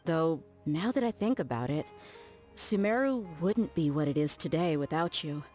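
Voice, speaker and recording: An actress playing an easygoing, confident young mercenary woman in a video game, with a relaxed, teasing, friendly manner. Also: low voice, normal speed, soft background music. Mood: warm, teasing.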